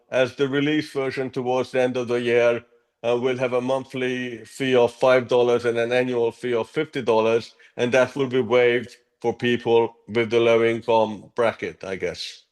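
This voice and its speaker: Hungarian-born man, mid-pitched, measured interview guest who often restarts his sentences. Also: flat delivery. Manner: earnest, measured, with frequent ums and restarts. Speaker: app co-founder, guest. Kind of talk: interview answers.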